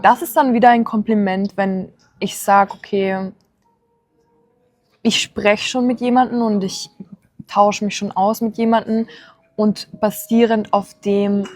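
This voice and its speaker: A woman in her twenties chatting casually and agreeably with a friend, often laughing softly as she talks. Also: mid-range voice, dry recording. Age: 20s. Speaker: trader and channel host. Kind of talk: casual chat.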